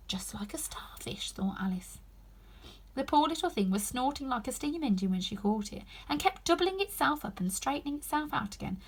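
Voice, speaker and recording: A woman narrates a story for children. Her delivery is expressive and emphatic, with pitch that moves up and down a lot. The voice has a soft husky breathiness. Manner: animated, emphatic. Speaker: storybook reader.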